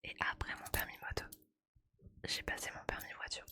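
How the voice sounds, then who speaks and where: A woman's voice: normal speed, sharp tone. A woman whispering breathily in a casual, playful ASMR chat, with slangy, colloquial speech as she streams to her viewers.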